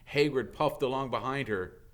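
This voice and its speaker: A man reading a story aloud as an animated, dramatic narrator. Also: mid-range voice, normal speed. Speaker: audiobook reader.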